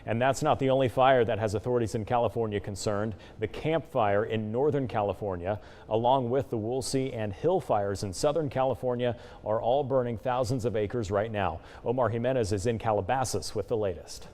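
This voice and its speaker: A man's voice: medium pitch, a little fast, a little mellow. An American man delivering broadcast news as an anchor.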